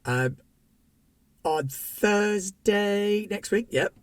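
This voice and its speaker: A man's voice: high-pitched, lively intonation, very slow, smooth, dry recording. A man hosting the wrap-up of a tabletop game stream, joking about next week's games in a playful, bouncy way. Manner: playful, jokey, bouncy delivery. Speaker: game master and channel host. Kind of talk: casual show wrap-up chat.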